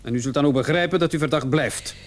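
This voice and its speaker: A man acting a shrewd, persistent police officer in a radio play, questioning a suspect in a measured, probing way. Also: on the high side, crowd noise, lightly acted. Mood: probing, pointed.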